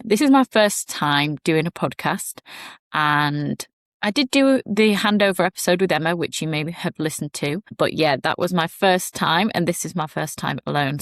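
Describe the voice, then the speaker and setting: A woman's voice: sits low, no echo, studio quality. A young woman from Yorkshire with a Yorkshire accent, hosting her podcast in a chatty, friendly way with little laughs and fillers. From England, Yorkshire. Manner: chatty and warm, with giggles and fillers. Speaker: podcast host. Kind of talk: casual solo podcast chat.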